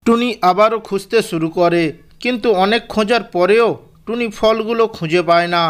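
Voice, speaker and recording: A male narrator with a high-pitched, very clean and smooth voice. He reads a scripted storybook tale for a cartoon. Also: fairly quick.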